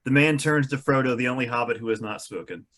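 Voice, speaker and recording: A man's voice: mid-range voice, fast, a bit bright. A man reading scene descriptions as the narrator of a script table read.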